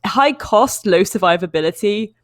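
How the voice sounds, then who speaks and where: A woman's voice: mid-range voice, lively intonation, no room sound. Woman casting esports, dry sound. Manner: measured, chatty, filler-heavy. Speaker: esports caster. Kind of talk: analytical esports commentary.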